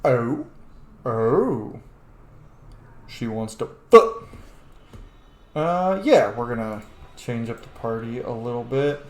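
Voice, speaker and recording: A man hosts a let's play from a roomy, echoing space, his voice at an ordinary pitch. He talks casually but argues his opinions hard, swearing freely and leaning on his words for emphasis. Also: lively intonation, slow pace, pauses often, a little hiss or hum. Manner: profane, emphatic, argumentative. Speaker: gaming video host. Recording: roomy, echoing home microphone.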